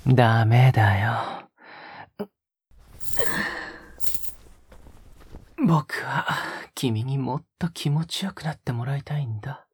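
A man playing a tender, teasing young male lover in an intimate romantic audio drama, breathy and often whispering in a soft, coaxing way close to the microphone. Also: mid-range voice, normal speed, no room sound. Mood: gentle, coaxing. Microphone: close microphone, dry sound.

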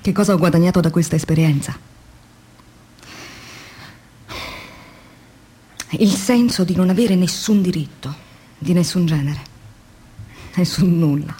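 A woman's voice: fairly low pitch, moderate pace, warm tone, lightly acted. An actress playing an earnest, weary woman factory worker in a radio drama monologue, reflective and intense in a theatrical way. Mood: sombre, resigned. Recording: noisy radio drama with background ambience.

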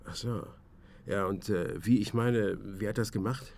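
A man's voice: on the low side, unhurried, a little mellow. A man playing a dogged, sardonic amateur investigator in a naturalistic radio drama, colloquial and hesitant. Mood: hesitant, probing. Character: dogged, sardonic ex-surveyor turned driver, investigating on his own.

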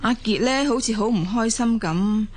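A woman playing a gentle middle-aged mother and wife in a Cantonese audio drama, speaking in a soothing, conciliatory way. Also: a little high, moderate pace, slight background noise, close to an everyday voice. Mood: concerned, persuasive.

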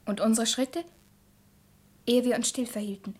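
A woman's voice: a little high, steady tone, moderate pace, a little mellow, slightly rough. An actress playing a young girl who narrates in a simple, lyrical way in a poetic radio drama. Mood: quiet, grave.

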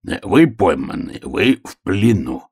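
A man voicing a sly, menacing storybook wolf who speaks with mock politeness in an unctuous, husky, drawn-out way. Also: low voice, sing-song, darkish tone, heavily acted. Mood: smug, coaxing. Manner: unctuous, wheedling, drawn-out words. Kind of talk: storybook character reading.

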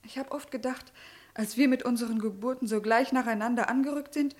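A woman's voice: on the high side, fairly even intonation, brisk, darkish tone, lightly acted. An actress playing an affectionate, playful young daughter in a radio drama, chatting and teasing in a girlish way. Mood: tender, wistful. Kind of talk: German radio drama acting.

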